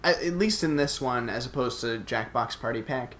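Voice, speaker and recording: A man's voice: mid-range voice, moderate pace, fairly bright tone, a little hiss or hum. A young adult man chatting casually as a co-host on a video game podcast. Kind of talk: casual chat about video games.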